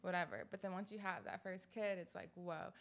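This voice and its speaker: A woman's voice: fairly low pitch, steady tone, a little fast. A woman of twenty-seven, an interview guest, telling her story earnestly, rambling with many likes. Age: late 20s. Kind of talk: casual storytelling.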